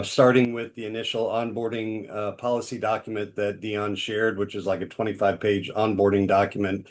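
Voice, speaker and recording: A man explaining how he built an AI tool, hedging and thinking aloud as he talks. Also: on the low side. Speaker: builder of the AI trainer. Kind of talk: casual discussion.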